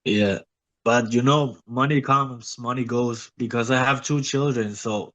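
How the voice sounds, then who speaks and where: Sick man giving short halting answers. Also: medium pitch, steady tone, fairly bright tone. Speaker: guest. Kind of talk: casual interview answers.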